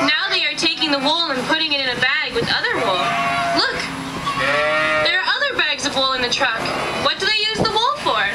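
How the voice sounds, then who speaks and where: Woman as high-voiced worried young sheep, noisy recording. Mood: alarmed. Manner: questioning, anxious, theatrical exclamations. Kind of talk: amateur puppet show dialogue. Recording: distant mic, very loud outdoor farm noise.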